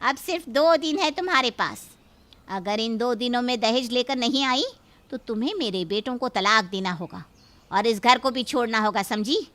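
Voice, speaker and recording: A woman's voice: fairly high pitch, normal speed, fairly bright tone, close to an everyday voice. A woman playing a domineering, greedy middle-aged mother-in-law in a cartoon story, haughty and threatening as she scolds. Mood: scolding, threatening. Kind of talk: Hindi cartoon story acting.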